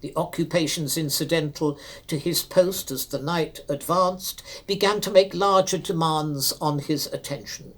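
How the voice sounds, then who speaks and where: A man narrating a classic literary story aloud in a measured, formal and expressive dramatic reading style. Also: a little high.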